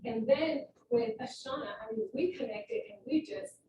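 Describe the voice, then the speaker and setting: A woman's voice: on the high side, normal speed, warm tone. A woman moderating a live panel discussion at an event, talking in a conversational, emphatic way, recorded from a distance in a roomy hall. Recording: roomy, distant mic with room noise.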